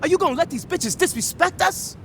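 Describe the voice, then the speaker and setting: High-voiced, hot-headed gangster. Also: male voice, lively intonation, brisk, a bit bright, a little hiss or hum. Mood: indignant. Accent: American. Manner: indignant, sarcastic. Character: hot-headed, sarcastic young male gangster and music mogul. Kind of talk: video game cutscene acting.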